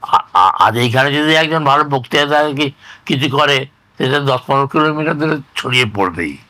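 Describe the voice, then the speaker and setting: An elderly man in his eighties or older with a West Bengali accent, recalling village life in a halting, rambling, conversational way and often repeating phrases. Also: medium pitch, expressive, bright, forceful tone, no echo. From rural West Bengal, India. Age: 80s or older. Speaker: interviewee recounting oral history. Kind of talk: conversational reminiscence.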